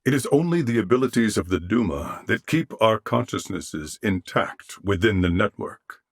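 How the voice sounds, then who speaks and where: A man's voice: lowish voice, animated, normal speed, no room sound, clean studio sound. A man voicing a noble, solemn alien warrior in a video game, speaking formally and courteously. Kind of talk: video game character voice acting.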